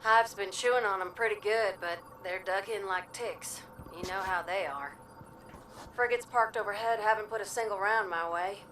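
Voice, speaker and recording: A woman's voice: medium pitch, fairly bright tone, distant mic, slight background noise, lightly acted, poor audio. A woman voicing a brash pilot giving a casual, folksy scouting report in a video game. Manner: casual, folksy, plain-spoken. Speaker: game character.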